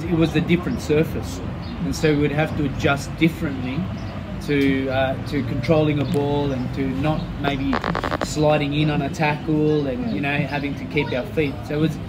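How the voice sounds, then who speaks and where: A Chilean-born man speaks as a football coach. He talks in a loose, friendly, chatty way in a voice pitched a little high for a man. Loud traffic rumbles behind him. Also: flat delivery, normal speed. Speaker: football coach being interviewed. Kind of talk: casual interview answers. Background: passing vehicles.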